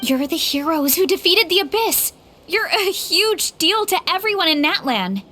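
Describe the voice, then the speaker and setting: A young woman voicing a bubbly, eager female athlete in a game, speaking with excited enthusiasm. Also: extremely high pitch, normal speed, a little hiss or hum, exaggerated voice. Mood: starstruck. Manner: bubbly, enthusiastic, talks with excited warmth about meeting heroes. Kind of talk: game voice acting.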